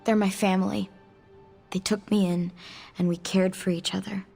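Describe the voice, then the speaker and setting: A woman's voice: medium pitch, little pitch movement, moderate pace, creaky, mellow, slightly rough, faint music behind. A woman playing a guarded, earnest young mutant outcast in video game dialogue, speaking casually and candidly with some hesitation. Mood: wistful, sincere.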